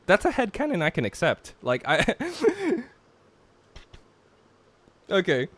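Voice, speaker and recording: Lively higher-pitched man bantering on stream. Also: slow, no echo. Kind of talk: casual group chat on a game stream.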